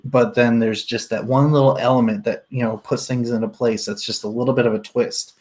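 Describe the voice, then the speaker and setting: A man's voice: mid-range voice, steady tone, fairly quick, a little mellow. A man hosting a casual livestream book discussion, explaining his points as he thinks them through aloud. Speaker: book club host leading a discussion.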